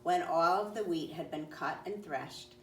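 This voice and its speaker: A woman with a low voice reads a children's story aloud. Her phrasing is deliberate and clear. She sounds slightly away from the mic in an echoing room. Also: a bit bright. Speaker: storybook reader. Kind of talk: children's read-aloud narration. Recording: roomy, distant mic, faint noise.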